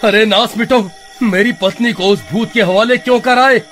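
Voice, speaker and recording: An excitable village husband from a cartoon moral tale, played by a man. He exclaims indignantly in a high male voice, with music playing underneath. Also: moderate pace, natural voice. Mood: alarmed. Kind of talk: cartoon story voice acting.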